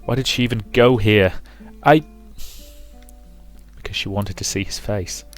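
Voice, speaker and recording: A man's voice: fairly low pitch, a little slow, soft background music. A man commentating on and reading aloud from a visual novel as a let's play host.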